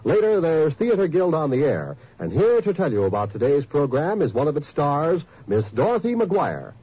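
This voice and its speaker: A man reading network promos in a polished, brisk 1950s announcer style. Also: a little high, very animated, breathy edge. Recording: dull old radio transcription.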